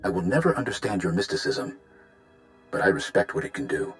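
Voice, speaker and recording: Casting: proud, gruff sci-fi warrior for a game, deep register, expressive swings, plain-spoken and formal. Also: male voice, soft background music. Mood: blunt, appraising. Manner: blunt, formal, measured. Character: gruff, loyal, blunt Mandalorian warrior man, clan enforcer.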